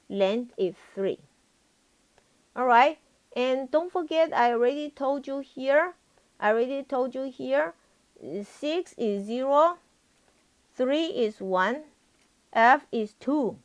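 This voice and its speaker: A woman teaching a live programming lesson as a professor, repeating herself and often checking that students understand. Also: on the high side. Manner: repetitive, frequently checks for understanding.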